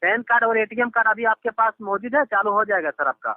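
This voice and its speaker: A man's voice: high voice, quick pace, no echo. A man on a phone call in the role of bank support, talking in an insistent, repetitive, reassuring way and addressing the listener formally. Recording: lo-fi telephone line recording. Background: phone call audio.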